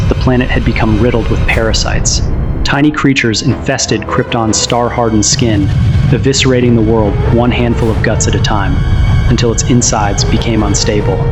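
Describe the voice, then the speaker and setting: A man's voice: medium pitch, close to an everyday voice. A man playing a wistful, bitter survivor who gives grave, reflective narration of his lost homeworld in a motion comic. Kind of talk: dramatic scripted first-person narration. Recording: loud music over narration.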